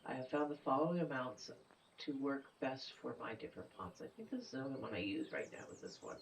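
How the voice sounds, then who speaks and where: A woman with a stuffy nose playing a video game, thinking out loud in casual commentary and sometimes dropping to a mutter or whisper. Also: a bass voice, expressive, quick pace, gravelly, far from the mic, slight background noise, poor audio. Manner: thinks aloud, muttering and half-whispering while working things out. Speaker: gameplay streamer. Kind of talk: casual let's play commentary.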